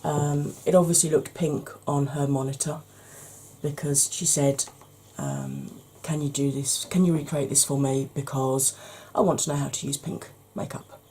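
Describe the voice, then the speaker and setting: A woman, hoarse from hay fever, chatting through a makeup tutorial step by step and speaking straight to her viewers, with frequent ums. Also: low-pitched, expressive, moderate pace, warm tone, slight background noise.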